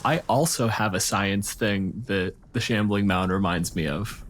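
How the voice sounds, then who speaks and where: A man with a fairly low voice co-hosts in a casual, explanatory way. There is noticeable background noise on his recording. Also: a little slow. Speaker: podcast co-host.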